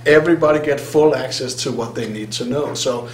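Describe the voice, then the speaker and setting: A man's voice: mid-range voice, brisk. Danish-accented man on a conference panel. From Denmark. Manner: animated, self-deprecating, laughs often. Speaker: hypnotherapist panelist. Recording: roomy hall sound, faint hum.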